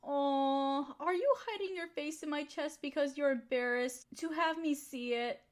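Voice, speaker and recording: A woman's voice: sits high, measured pace. A woman playing an affectionate, teasing girlfriend in an intimate comfort roleplay, soothing and cooing as she pampers her partner. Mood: teasing, tender. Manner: cooing, soothing pet-talk. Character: affectionate, teasing, nurturing girlfriend of a fox spirit. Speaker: audio roleplay voice performer.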